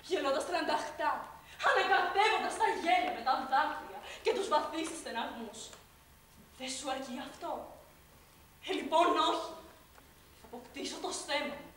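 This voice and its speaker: An actress playing a bitter young maid full of hatred and grand dreams, in a theatrical stage delivery. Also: female voice, sits high, slight background noise. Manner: theatrical stage delivery, swings between mocking laughter and hushed intensity. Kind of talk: stage play performance. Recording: distant echoey stage recording.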